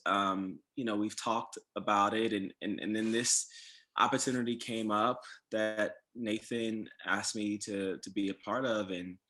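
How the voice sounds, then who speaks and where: A man talks as an actor guest in an interview. His voice is on the low side with a clear bright edge, and he answers conversationally in measured, halting phrases.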